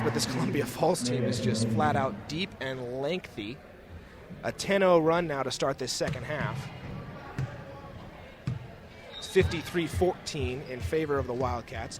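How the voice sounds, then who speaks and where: A young man giving live play-by-play commentary of a basketball game as the announcer. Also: medium pitch. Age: young adult. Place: indoor school gymnasium during a game. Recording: noisy gym ambience, bouncing basketballs. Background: game noise, basketball bounces.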